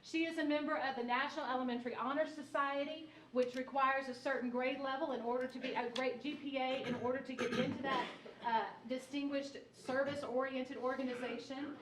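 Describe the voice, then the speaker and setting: Bright-voiced woman giving an effusive tribute. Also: sits high. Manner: effusive, upbeat and gracious. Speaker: school staff presenter. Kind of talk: formal student recognition speech. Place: public meeting room. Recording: echoey room with background noise.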